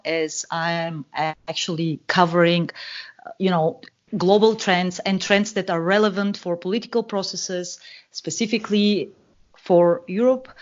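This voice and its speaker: Bulgarian-accented woman, muffled call audio. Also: on the low side. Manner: hesitant, many fillers and restarts. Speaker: guest analyst. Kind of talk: podcast interview, explaining.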